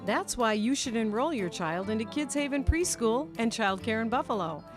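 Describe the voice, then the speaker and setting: A woman's voice: fairly high pitch, expressive, normal speed. American woman announcing an ad over music. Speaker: radio commercial announcer. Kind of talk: scripted ad read.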